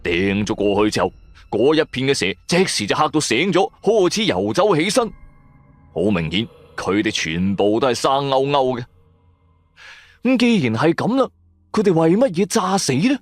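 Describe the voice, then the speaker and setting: A man's voice: mid-range voice, very animated, moderate pace. A man narrating a first-person horror story for radio in a suspenseful, colloquial manner. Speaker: audio drama narrator. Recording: music under the voice. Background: background music.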